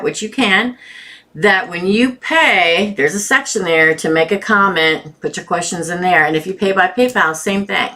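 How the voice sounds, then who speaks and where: A woman's voice: on the low side, pushed and bright. A woman hosting a tarot live stream with a sore throat, chatting casually and greeting viewers by name.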